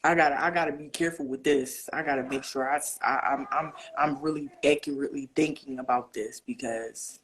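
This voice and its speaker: A woman with a deep voice, a guest in a casual interview, talking in an animated, slangy, emphatic way and thinking aloud with fillers. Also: fairly quick, hardly any pauses, a little hiss or hum, low-quality recording. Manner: animated, breaks into singing snatches.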